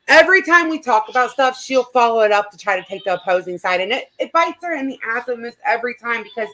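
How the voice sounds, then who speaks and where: A woman's voice: fairly high pitch, expressive, quick pace, bright, forceful tone, rough recording. A woman hosting a live stream, chatting casually in a blunt, sarcastic way. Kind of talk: casual reaction chat.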